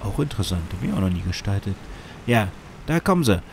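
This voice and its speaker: Gravelly man with a cold. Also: on the low side, sing-song, fast talker, darkish tone, slight background noise. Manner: rambling, hesitant, lots of fillers. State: has a cold, sniffling. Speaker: gaming YouTuber. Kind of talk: casual let's-play commentary.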